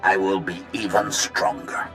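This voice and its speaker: A man's voice: deep voice, little pitch movement, a little slow, loud music behind the voice, rough recording. A man playing a zealous, defiant Jedi master in a video game, making solemn, righteous declarations. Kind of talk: dramatic video game acting.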